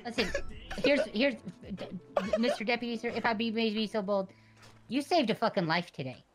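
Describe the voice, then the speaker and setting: A woman's voice: on the high side, moderate pace, music in the background. Earnest, rambling woman in game roleplay. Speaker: online roleplay player. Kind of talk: casual improvised game roleplay.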